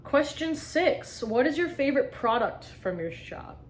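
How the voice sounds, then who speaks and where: A woman's voice: high voice, sing-song, a little slow. A young woman from New York answering tag questions about her small art shop. She rambles with lots of fillers and swears casually. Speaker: small business artist.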